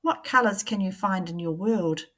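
A woman, a primary teacher reading a picture book aloud to young children in a deliberate, questioning way. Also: mid-range voice, fairly bright tone.

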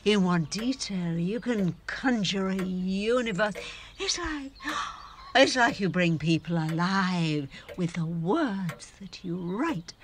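A woman's voice: mid-range voice, lively intonation, slow pace, background noise. An actress playing a possessive, theatrical middle-aged woman clinging to her lover in an audio drama, pleading and dramatic. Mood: flattering, insistent. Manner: dramatic, pleading, swinging from hushed adoration to outbursts.

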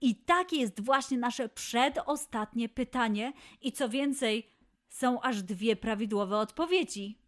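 A woman's voice: a little high, unhurried. A woman hosting a children's quiz show in a playful, scripted way, encouraging her young listeners.

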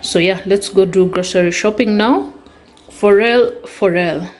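A woman's voice: medium pitch, measured pace, darkish tone, slight background noise. A young adult woman with a Kenyan accent, chatting casually through a shopping haul voice-over and listing items one by one. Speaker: vlogger.

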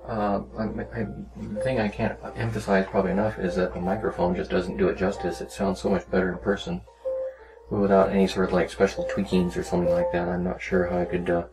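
A man giving a casual, rambling, unhurried demonstration of a hobby project, talking as a maker. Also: low-pitched, flat delivery, music in the background, rough recording. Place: small cluttered indoor workshop.

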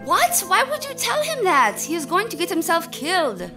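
A woman's voice: very high-pitched, huge pitch swings, normal speed, music in the background, exaggerated voice. A woman playing a cautious, anxious character in a radio drama, with careful formal phrasing. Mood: alarmed. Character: cautious, alarmed woman who once worked for a criminal.

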